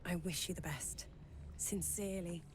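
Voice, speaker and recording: An actress playing a young noblewoman, a general's submissive daughter in a video game, polite and measured. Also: lowish voice, fairly even intonation, background noise, close to an everyday voice. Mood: resigned, polite. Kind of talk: dramatic video game acting.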